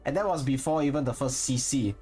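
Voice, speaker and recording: A man's voice: mid-range voice, fast, mellow, slightly rough, soft background music. A man with a Singaporean accent chatting casually with viewers on a live stream, rambling and correcting himself as he goes. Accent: Singaporean English. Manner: rambling, thinks aloud and corrects himself mid-sentence. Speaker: streamer and content creator.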